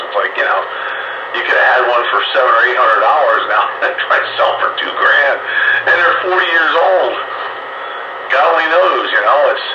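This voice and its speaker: A man chatting casually over CB radio in a conversational, opinionated way. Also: mid-range voice. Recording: distant, echoey, muffled, noisy radio transmission.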